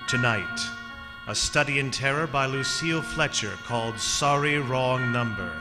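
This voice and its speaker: A man's voice: a little high, natural voice. A man playing a mysterious, ominous host introducing a theatrical old-time radio suspense show. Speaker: announcer. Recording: long reverb under loud music.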